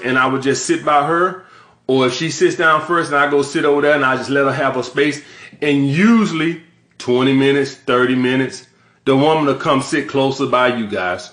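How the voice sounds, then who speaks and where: A man's voice: fairly high pitch, fairly bright tone, reverberant. A man giving dating advice straight to his audience, talking bluntly and swearing for emphasis. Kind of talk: direct-to-camera advice monologue.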